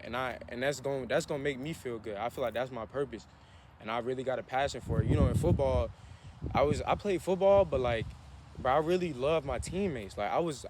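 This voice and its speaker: An earnest young man in his twenties talks about self-improvement, looping back over his words as he goes. His voice sits in an ordinary middle range. Faint outdoor ambience and passing traffic hum behind him. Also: mid-range voice. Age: 20s. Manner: earnest, repetitive, self-motivating. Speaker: vlogger. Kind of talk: motivational vlog monologue.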